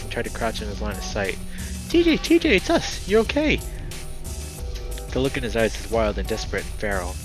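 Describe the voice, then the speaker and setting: A man reading a visual novel aloud in expressive, dramatic narration, now and then stumbling over words. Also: on the low side.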